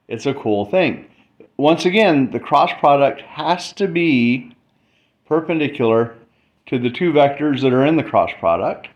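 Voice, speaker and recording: A man giving an informal explainer, thinking aloud in short, stop-start phrases as he demonstrates. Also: mid-range voice. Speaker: presenter explaining math. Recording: dull lo-fi mic, faint background noise.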